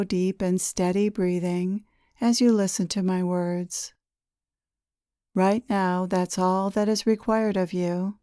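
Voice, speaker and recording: Slow, mellow woman guiding a meditation. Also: medium pitch, clear, resonant tone, no room sound, studio quality. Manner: slow, gentle, soothing instruction with deliberate pauses. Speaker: guided meditation leader, channeler. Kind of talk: guided meditation narration.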